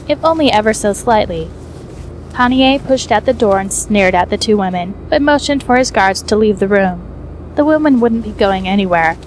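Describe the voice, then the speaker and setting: Female narrator for a fan fiction audiobook: higher-pitched voice, scripted storytelling, slight background noise.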